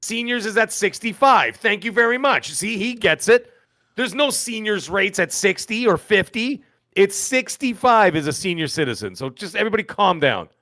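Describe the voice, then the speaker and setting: A man's voice: high voice, expressive, normal speed, pushed and bright, no echo. A man in his fifties co-hosting a podcast, rambling and joking as he tells stories in casual banter. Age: 50s. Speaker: podcast co-host.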